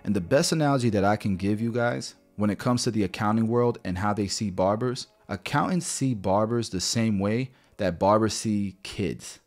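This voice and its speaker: A man in his thirties with a lowish voice talks straight to camera through a close podcast mic. He explains money matters bluntly and keeps calling his viewers "guys". Soft music plays faintly underneath. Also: normal speed, few pauses. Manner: direct, blunt, repeats "guys" and "right". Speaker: barber business mentor. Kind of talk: whiteboard finance lesson to camera. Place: small home studio indoors. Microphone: podcast mic near mouth.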